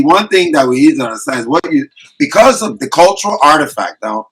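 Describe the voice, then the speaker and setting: Bright-voiced man hosting a video call. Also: mid-range voice, rough recording. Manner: animated, slips into acted example voices. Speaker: host running an online quiz. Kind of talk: casual group discussion.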